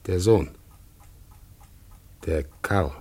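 Grim old dad in a radio play, deep raspy voice, really slow muttering. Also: male voice, warm tone, exaggerated voice. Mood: suspicious, muttering. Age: older. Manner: halting, muttering, repeats words. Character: brooding, stern old father torn by duty. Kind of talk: 1950s German radio drama.

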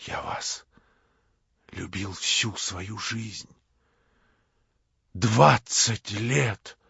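A man voicing a sick, frightened elderly gentleman who speaks faintly and tremulously, recorded dry and dull. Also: lowish voice, moderate pace, no echo, lightly acted. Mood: hushed confession. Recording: dull dry studio sound.

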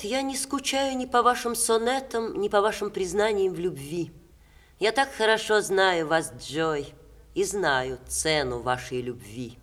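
An actress playing a proud, emotional ageing stage star in 1960s Russian radio theatre style, with expressive, measured diction. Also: female voice, fairly low pitch, close to an everyday voice. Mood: wounded, proud.

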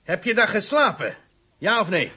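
Schorre, hoge, strenge politieondervrager. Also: male voice, sits high, big pitch swings, moderate pace, pushed and bright, breathy edge, exaggerated voice. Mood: sceptical, probing. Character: stern, pressing male police interrogator, impatient and sceptical. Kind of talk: Dutch radio drama acting.

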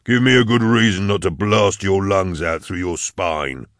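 A man voicing a fat, gruff man in his sixties, an old soldier and building caretaker in an audiobook. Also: deep voice, moderate pace, fairly bright tone, dry recording, clean studio sound. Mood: gruff, challenging. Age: 60s. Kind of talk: audiobook character dialogue.

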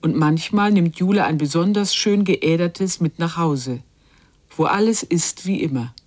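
Quiet lady narrating a radio drama, kinda low voice, measured and lyrical. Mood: reflective.

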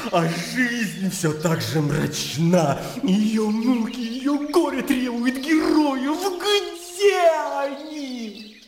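A man's voice: extremely high pitch, drawn-out words, exaggerated voice. An actor playing an impassioned, idealistic young man in a radio drama, speaking in fervent, agitated rhetoric. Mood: anguished, fervent. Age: young adult. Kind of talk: radio drama acting, literary dialogue. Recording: echoey reverberant hall with background noise.

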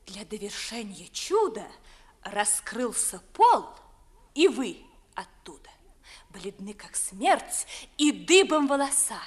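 A woman's voice: fairly high pitch, big pitch swings, takes it slowly. An actress playing a headstrong, dreamy young noblewoman in love, declaiming verse in 1950s theatrical radio style. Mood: breathless, dreamy.

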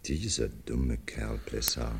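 An actor playing a proud, skeptical doctor in a 1950s radio drama, gruff and pompous, with a gravelly, husky voice. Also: male voice, extremely low pitch, slow, exaggerated voice. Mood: resigned. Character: stern, skeptical male doctor, proud, gruff sparring partner.

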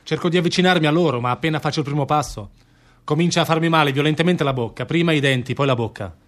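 A man acting in a radio drama as a doubting, haunted public prosecutor, speaking gravely in measured phrases. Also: fairly high pitch, a bit bright, close to an everyday voice. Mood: haunted, intense. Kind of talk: theatrical radio drama dialogue.

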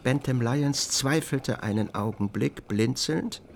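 A middle-aged man reads scripted literary narration for a radio play. His voice is creaky and gravelly at a medium pitch, and he tells the story in a measured, deliberate way. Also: a little hiss or hum.

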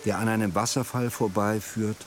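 Low-pitched male radio drama narrator. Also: fairly even intonation, normal speed, slight background noise.

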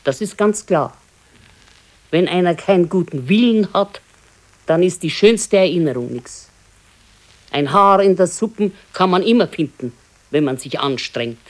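A woman playing an aging, resigned, gently chiding wife in a radio play, with a southern German, Bavarian accent and a matter-of-fact manner. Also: fairly low pitch, lively intonation, measured pace, long pauses. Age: older. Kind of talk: naturalistic radio play acting.

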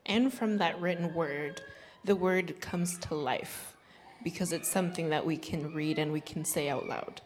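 A woman's voice: deep voice, slow, a little hiss or hum. A woman interpreting a sermon into English, relaying the pastor's words steadily. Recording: hall reverb.

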